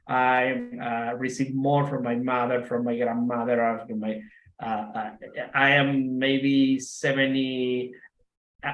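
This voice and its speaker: A man's voice: medium pitch, fairly bright tone. A man from Argentina speaking English with an Argentine Spanish accent, chatting casually and searching for words with many fillers and restarts. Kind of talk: casual video call chat. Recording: echoey room.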